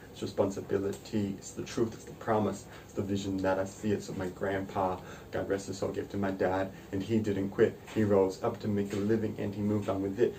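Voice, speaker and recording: A young man, a student, reciting slam poetry earnestly and in a steady rhythm. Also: lowish voice. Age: young adult. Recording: distant pickup with faint noise.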